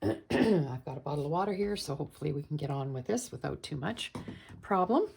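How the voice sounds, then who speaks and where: A woman hosting a card-making tutorial, croaky and hoarse from a cold, chatting and explaining steps patiently. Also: sits low, animated, brisk, a little mellow. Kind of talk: casual crafting tutorial.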